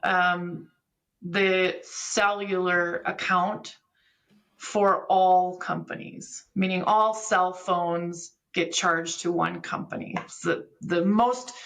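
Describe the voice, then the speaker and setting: A woman's voice: on the low side, steady tone, slow pace, fairly bright tone. A woman giving a conversational technical explanation as an expert guest, walking through things step by step with examples. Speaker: podcast guest, business software expert.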